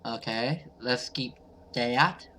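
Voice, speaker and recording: A man's voice: mid-range voice, takes it slowly, bright, forceful tone, slight background noise. A man giving casual let's play commentary and reading game text aloud. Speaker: gaming video host.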